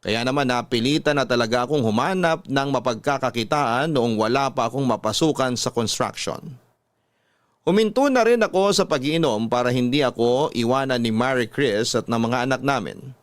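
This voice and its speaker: A man's voice: mid-range voice, moderate pace, no room sound, lightly acted. A Filipino man playing a regretful working-class father, telling his story in an earnest, confessional radio-drama narration. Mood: regretful, confiding.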